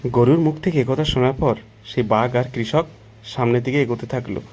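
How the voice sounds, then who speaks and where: A man narrates a children's story in a mid-pitched voice. His delivery is scripted and unhurried, and there is a little noise and ambience behind him. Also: a little mellow.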